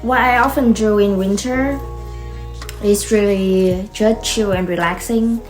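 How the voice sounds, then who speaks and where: A young woman from Vietnam with a Vietnamese accent, talking casually to her vlog audience, soft and unhurried, with many fillers and restarts. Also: medium pitch, fairly even intonation, over music. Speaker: vlogger.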